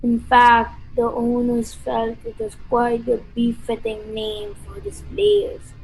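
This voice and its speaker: A woman's voice: a little high, animated, slow pace, gravelly, darkish tone, soft background music, exaggerated voice, low-quality recording. A woman playing an unctuous, eerie castle servant, menacingly polite, in a radio play. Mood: ominous. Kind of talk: amateur radio play acting.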